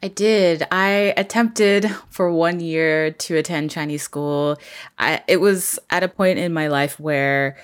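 A woman from Canada speaks in a low voice as a podcast guest. Her talk is casual and informal, in the loose phrasing of everyday conversation. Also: steady tone, slow pace, clean tone. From Vancouver, Canada. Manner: informal, frequent "like". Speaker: podcast guest, story artist.

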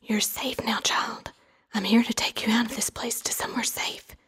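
Storybook aunt, acted by a man: Southern woman in middle age, husky hushed voice, calming yet firm. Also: sits high, a little slow, pitched above the performer's own voice, full character voice. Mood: hushed, soothing. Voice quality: breathy, hushed, often near a whisper.

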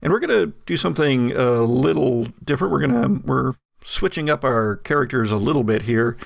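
A man running a tabletop game as game master, narrating with frequent laughter and halting restarts. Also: medium pitch, expressive, gravelly, no room sound, studio quality.

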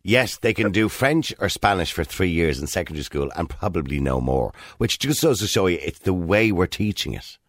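Radio host, male, Irish. Lowish voice with lively swings in pitch, recorded close and dry. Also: normal speed, hardly any pauses, no echo. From Ireland. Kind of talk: conversational radio phone-in interviewing.

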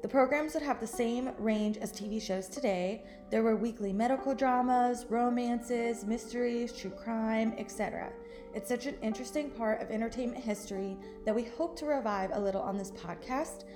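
A woman's voice: a little high, normal speed. A woman reading a scripted podcast introduction as host. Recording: roomy, echoing sound. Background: music.

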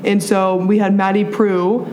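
A woman's voice: medium pitch, little pitch movement, measured pace, darkish tone, faint music behind, low-quality recording. A woman in her early twenties from Pennsylvania, a college student speaking as a podcast guest in a bubbly way, with many fillers and easy laughs. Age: early 20s. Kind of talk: casual interview chat.

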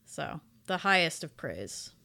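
A woman with a moderately low voice talks as a podcast co-host in a casual review discussion. She is enthusiastic and leans on her words for emphasis, keeping things conversational. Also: sing-song, slow pace, pushed and bright.

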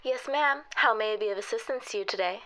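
A woman's voice: a little high, quick pace, pushed and bright. A woman playing a chatty folksy receptionist. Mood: polite. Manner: familiar, calls people honey and girl. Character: chatty friendly female receptionist, nosy and folksy. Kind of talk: comedy sketch acting.

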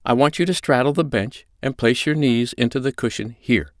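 Man voicing a commanding woman, pitched well up. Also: normal speed, dry recording, studio quality, close to an everyday voice. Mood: firm, instructing. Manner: firm, instructing, soothing. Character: commanding, reassuring adult woman, a dominatrix mistress.

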